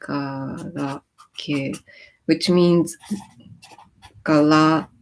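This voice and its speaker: A woman with a somewhat low voice hosts a relaxed livestream. Japanese is her mother tongue but she grew up in the US. She reads out viewer comments and explains lyrics, pausing on ums and restarting her sentences. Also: rough recording. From born in Japan, raised in the US. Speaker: livestream host. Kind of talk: casual livestream chat.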